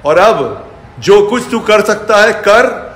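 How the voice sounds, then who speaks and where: A man with a fairly high voice delivers an angry social media rant. He is confrontational and taunting, and swears freely. The phone held at arm's length picks up the echo of the room around him. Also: lively intonation, slow, fairly bright tone. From India. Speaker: fitness personality. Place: furnished living room indoors. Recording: echoey indoor room.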